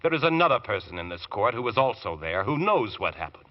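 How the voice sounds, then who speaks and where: An actor playing a relentless barrister in an old radio drama, questioning in a clipped, insistent, accusatory way. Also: male voice, on the low side, big pitch swings, quick pace. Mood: accusing, forceful. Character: relentless male defence barrister grilling a witness. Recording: dull lo-fi old radio recording.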